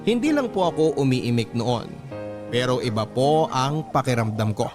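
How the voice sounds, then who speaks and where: A man with a Filipino accent narrating a first-person story as a radio host reading a letter, in a polite, measured way. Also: mid-range voice, very animated. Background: music.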